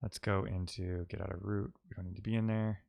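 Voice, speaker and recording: A man's voice: deep voice, flat delivery, fairly quick, creaky, lo-fi sound. A man giving casual, think-aloud commentary as a live-coding streamer, often muttering to himself and trailing off.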